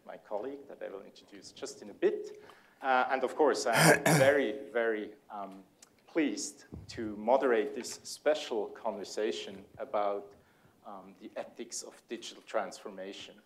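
A man in his forties with a Swiss German accent, a native German speaker, moderating a public panel and introducing speakers courteously, with frequent hesitant pauses. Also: lowish voice. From Switzerland. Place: large lecture hall before a seated audience, on stage.

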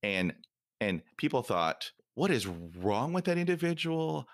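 A man's voice: medium pitch, big pitch swings, unhurried, dry recording, studio quality. A man who stutters, hosting a podcast interview and telling stories animatedly with laughter. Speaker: podcast host.

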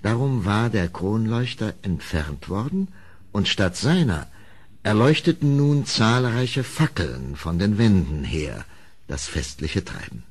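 A man's voice: on the low side, animated, slow. A man narrating a horror audio play as dramatic scripted storytelling.

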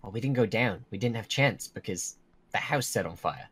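A man's voice: on the low side, expressive, fairly quick, a bit bright, breathy edge, no echo. A man playing a worried, protective young werewolf in an improvised Minecraft roleplay, talking in a hesitant, explaining way. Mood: serious. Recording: music under the voice.

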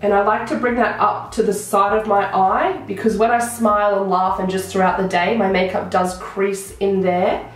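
A young woman with a croaky voice, chatting enthusiastically through a makeup tutorial to a close camera in a small room. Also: mid-range voice, level tone, moderate pace. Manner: chatty, enthusiastic product talk. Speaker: beauty vlogger. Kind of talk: casual makeup tutorial chat. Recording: very echoey room.

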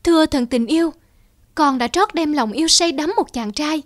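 High-pitched lovelorn fox played by a woman. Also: moderate pace, no echo, clean studio sound, natural voice. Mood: pleading. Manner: earnest, plaintive pleading. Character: lovelorn young female fox, pleading, devoted. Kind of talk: cartoon fairy-tale voice acting. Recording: music behind the voice.

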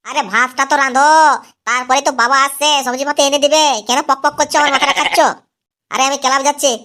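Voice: high voice, flat delivery, fast, pushed and bright. An actor voicing an irritable, lazy cartoon husband who grumbles, swears and brushes things off in comic style. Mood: irritated, dismissive. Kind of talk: exaggerated comedy cartoon voice acting.

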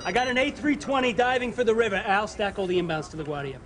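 Man playing a rapid, urgent American air controller. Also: sits high, music in the background, lightly acted. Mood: urgent, tense. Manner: terse, urgent. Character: urgent, anxious male air traffic controller. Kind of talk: film acting. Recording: noisy film control room.

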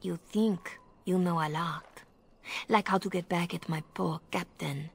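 An actress playing a seductive, commanding spymaster in a video game, with a teasing, possessive, silky manner. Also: female voice, lowish voice, fairly even intonation, a little slow, creaky, slightly rough, full character voice.

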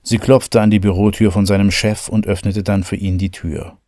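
A man's voice: fairly low pitch, level tone, normal speed, noisy background. A man narrating a scripted horror audio drama.

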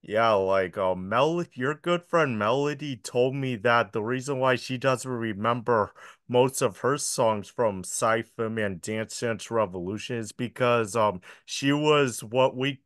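A man's voice: medium pitch, measured pace, fairly bright tone, no echo, studio quality. A young adult man interviewing a singer over a video call, reading his questions with many ums. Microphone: video call, laptop webcam.

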